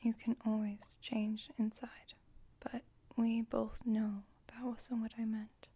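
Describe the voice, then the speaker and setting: A woman playing a calm, formal, caring vampire coven leader in a whispered ASMR roleplay, speaking in a gentle, measured, breathy whisper. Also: fairly high pitch, exaggerated voice. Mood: calm, instructive.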